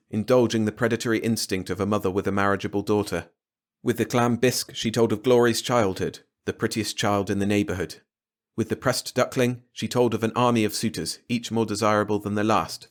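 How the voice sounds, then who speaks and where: A man's voice: fairly low pitch, brisk. A man reading a short story aloud as a scripted audiobook narration. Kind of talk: scripted story narration, bedtime read-along.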